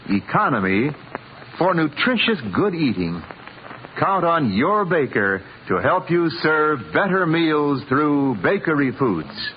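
Animated American radio announcer, vintage sound. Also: male voice, mid-range voice, slight background noise. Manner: polished, emphatic delivery. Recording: muffled old radio recording.

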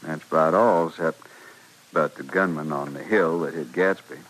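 Low, husky dying frontier storekeeper. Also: male voice, a bit bright, exaggerated voice, poor audio. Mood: weak, confessing. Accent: American, western. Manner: halting, plain, resigned. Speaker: radio actor. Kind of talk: 1950s radio western acting. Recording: vintage radio recording.

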